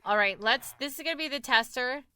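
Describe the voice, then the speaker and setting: A woman's voice: high voice, brisk, bright, forceful tone, smooth, no echo. A young woman with a New York accent, chatting casually with her live-stream audience while gaming, talkative and laughing often.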